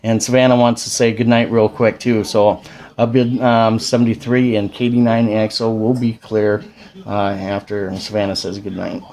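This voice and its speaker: A man's voice: fairly low pitch, little pitch movement, normal speed, a little mellow. A man of about forty with an American accent, explaining things in an informal, rambling way at home. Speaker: amateur radio operator and father. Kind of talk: casual explanatory chat to camera. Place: indoors at a home radio station.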